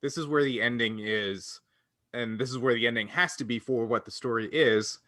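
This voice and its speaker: A man's voice: mid-range voice, normal speed, pushed and bright, no echo, clean studio sound. A man chatting casually as a co-host in a group discussion. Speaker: podcast co-host.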